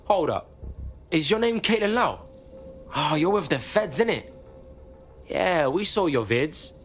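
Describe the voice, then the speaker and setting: Lively young London street hacker. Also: male voice, on the high side, measured pace, slight background noise. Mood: brash, matey. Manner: slangy, informal, sweary. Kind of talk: dramatic game cutscene acting.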